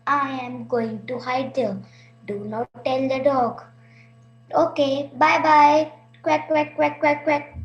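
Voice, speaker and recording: A woman's voice: high voice, fairly even intonation, dull audio. A woman voicing a small playful duck who quacks and hides from a dog. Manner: quacking, sing-song, slow and playful. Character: small chirpy female duck hiding from a dog. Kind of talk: stage play performance. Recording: echoey room.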